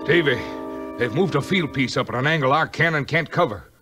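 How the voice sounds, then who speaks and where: A man acting a brisk, earnest American army colonel, commanding and urgent, in a 1950s audio play. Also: medium pitch, over music, close to an everyday voice. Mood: urgent, amazed.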